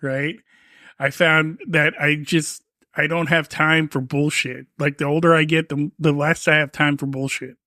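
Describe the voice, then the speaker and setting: A man in his fifties chatting casually on a podcast, his voice sitting a touch high for a man. He rambles and swears easily, circling back on his sentences. The sound is close and dry, with no room in it. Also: little pitch movement, measured pace, pushed and bright, no room sound. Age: 50s. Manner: laid-back, swears often, rambling with restarts. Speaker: podcast co-host. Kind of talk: casual chat.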